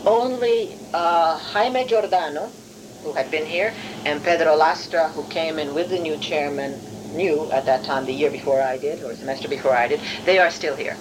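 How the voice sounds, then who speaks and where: A woman's voice: low voice, normal speed, noisy background. A middle-aged woman from Hungary, a non-native English speaker, recounting her academic career in a discursive, careful, self-correcting way as an interviewed professor. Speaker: university professor being interviewed. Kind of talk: oral history interview, recollection.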